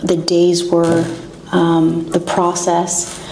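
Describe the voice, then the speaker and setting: A woman from Canada speaks as an interview guest in a low, gravelly, mellow voice. She talks very slowly, in short, halting phrases. The room is echoey, with faint noise underneath. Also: completely flat delivery.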